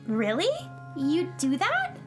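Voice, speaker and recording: Earnest high-voiced young space girl. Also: huge pitch swings, slow, full character voice. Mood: excited. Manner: cheerful, sincere, plainspoken. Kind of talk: children's radio drama acting. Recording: music behind the voice.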